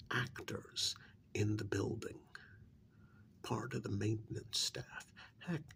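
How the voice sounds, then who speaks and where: A man playing a stern, secretive government handler in an ASMR role-play, speaking softly in a pointed, reprimanding, deliberate way. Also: medium pitch, exaggerated voice. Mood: insistent, secretive. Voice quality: breathy near-whisper with gravelly creak. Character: stern, secretive male government handler scolding an undercover agent.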